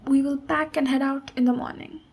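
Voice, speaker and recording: A woman playing a big, friendly female ogre warrior in an ASMR roleplay, speaking gently and encouragingly as a mentor. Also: high-pitched, fairly even intonation, fairly quick. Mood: warm, encouraging. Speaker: ASMR voice actor. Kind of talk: ASMR roleplay, slow intimate monologue.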